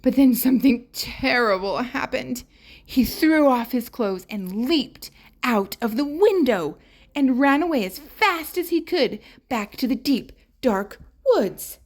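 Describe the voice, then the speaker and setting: An earnest little girl played by a woman tells her storybook tale with wide eyes. Her voice is high and swings up and down as she dotes on every detail, taking her time. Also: animated, takes it slowly, full character voice. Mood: worried.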